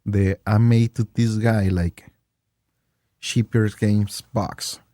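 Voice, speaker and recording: Young Mexican man, Spanish accent, halting English; low pitch, dry sound. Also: level tone, dark tone, no echo. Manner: halting, searching for English words. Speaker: guest, game store owner. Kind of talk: casual interview chat.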